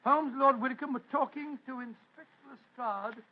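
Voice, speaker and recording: A man's voice: extremely high pitch, brisk. A man playing Doctor Watson, a jovial older doctor, in a vintage radio drama. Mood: narrating. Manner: jovial, hearty, chuckling. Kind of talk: 1940s radio acting. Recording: dull old radio recording.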